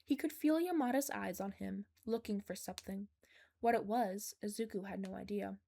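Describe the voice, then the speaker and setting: A woman's voice: medium pitch, normal speed, gravelly, warm tone. A woman reading fan fiction aloud as a scripted story narration.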